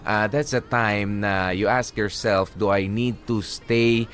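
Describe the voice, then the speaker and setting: A man with a Filipino accent hosting a radio advice show, talking in a hesitant, halting, conversational way. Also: fairly low pitch, fairly even intonation, fairly bright tone. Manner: hesitant, halting, mixes English with local speech. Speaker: radio host.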